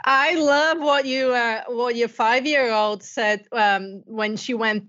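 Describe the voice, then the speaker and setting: A woman's voice: fairly high pitch, takes it slowly, a bit bright, highs cut off. A middle-aged woman from Bulgaria with a Bulgarian accent, talking conversationally about herself as a podcast guest. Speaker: podcast guest, coach and entrepreneur. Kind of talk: conversational interview, telling life story.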